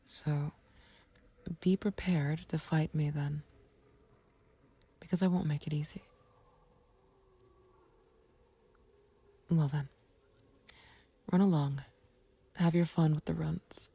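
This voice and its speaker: A woman playing a smug, taunting, playfully flirtatious villain in an ASMR roleplay, with a husky, breathy low voice and a teasing, unhurried, condescending manner. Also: steady tone, dark tone, exaggerated voice. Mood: smug, threatening. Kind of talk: ASMR roleplay audio drama.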